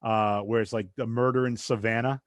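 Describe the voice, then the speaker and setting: A man's voice: mid-range voice, level tone, a little fast, no echo, studio quality. A man from southeastern Massachusetts hosting a podcast, with a light Massachusetts accent and a rambling, loose way of talking full of asides. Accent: light Massachusetts, drops R's when fast. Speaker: podcast host. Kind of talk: casual interview chat.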